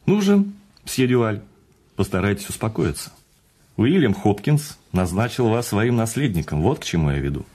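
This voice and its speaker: A man acting in a radio drama as an urbane, patient notary who speaks in a measured, courteous way with teasing emphasis. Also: on the low side, sing-song, darkish tone, breathy edge. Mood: courteous, coaxing. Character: urbane, patient male notary breaking news of a fortune. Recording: studio radio play with faint music.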